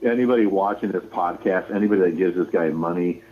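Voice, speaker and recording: A man's voice: low voice, fast talker, a little hiss or hum. A man arguing on a live phone-in, combative and insulting, insistently repeating himself. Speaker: caller to a livestream show. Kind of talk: argumentative live phone-in banter. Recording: tinny phone-like livestream call.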